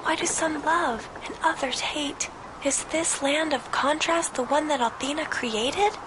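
Solemn, high-voiced otherworldly maiden. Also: female voice, background noise. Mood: wondering. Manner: formal, measured, earnest. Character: solemn, mysterious otherworldly young woman. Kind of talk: anime game cutscene acting.

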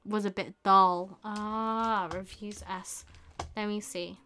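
A young woman hosting a casual live stream, thinking aloud in an unhurried way with murmured asides. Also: mid-range voice, steady tone, pushed and bright, soft background music. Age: young adult. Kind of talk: casual chat while shopping online. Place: small indoor room with bookshelves.

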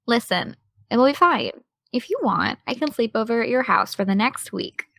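A woman playing a determined, sardonic teenage podcaster in an audio drama, with a dry, brisk delivery. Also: a little high, expressive, vocal fry, a bit bright, no room sound, full character voice. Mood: reassuring, confident. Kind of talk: naturalistic audio drama dialogue.

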